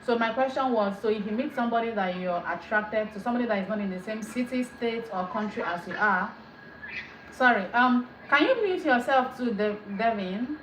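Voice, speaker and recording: Woman host running the chat, brisk and prompting, roomy sound with background noise. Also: on the high side, fairly bright tone. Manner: brisk, prompting, with frequent "okay" and "mm-hmm". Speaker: discussion host. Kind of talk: moderating an online group chat. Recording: echoey online call with background noise.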